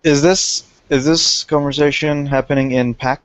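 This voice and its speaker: A man's voice: a little high, fairly even intonation, moderate pace, no echo. A man speaking hesitantly during a tabletop roleplay session.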